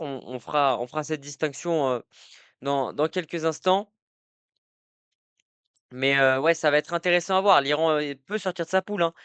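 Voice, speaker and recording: Chatty young man with a crisp voice. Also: a little high, fairly bright tone, no room sound. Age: young adult. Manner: rambling, many fillers and restarts, talks to chat. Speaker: live streamer. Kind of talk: casual live-stream football talk. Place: indoor room at night.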